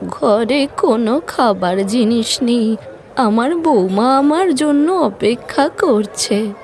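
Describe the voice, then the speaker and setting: A woman voicing a hungry old beggar grandmother in a cartoon fairy tale, pleading in drawn-out phrases. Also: fairly high pitch, expressive, darkish tone, slight background noise. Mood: pleading, blessing.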